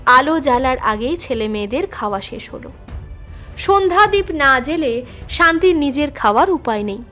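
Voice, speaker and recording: High, bright-voiced woman reading a story. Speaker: audiobook narrator. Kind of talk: scripted literary story narration. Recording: music behind the voice.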